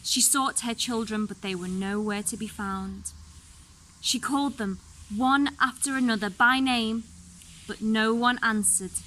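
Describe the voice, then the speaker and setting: A woman's voice: on the high side, a little slow, sharp tone, poor audio. A woman narrating a fairy tale expressively, with dramatic, varied emphasis. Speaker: story reader.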